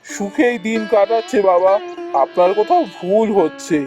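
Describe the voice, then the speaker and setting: Guy doing a smug tyrant king for a cartoon, formal, high voice, little music behind. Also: normal speed, darkish tone, natural voice. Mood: falsely benevolent. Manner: pompous, formal. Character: tyrannical, self-justifying, falsely benevolent male king. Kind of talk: cartoon fairy-tale voice acting.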